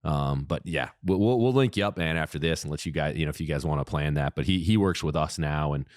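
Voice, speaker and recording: Podcast co-host, male, very low voice, dry and close, casual with lots of filler. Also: fast, no room sound. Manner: laid-back, heavy on fillers like um and you know. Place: podcast studio.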